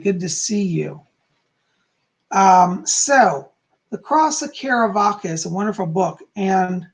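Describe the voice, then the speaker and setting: Hoarse, calm, gravelly-voiced man. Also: high voice. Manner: calm, soft-spoken. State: hoarse, losing his voice. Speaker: psychic teaching about folk magic. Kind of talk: livestream teaching, reading aloud from a book and chat comments.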